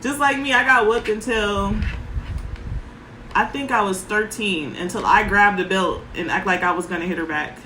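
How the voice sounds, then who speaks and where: Woman, soft voice, parenting coach, frank and emphatic, mid pitch, faint background hiss. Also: moderate pace, reverberant, rough recording. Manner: frank, speaks in short emphatic sentences. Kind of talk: casual advice talk.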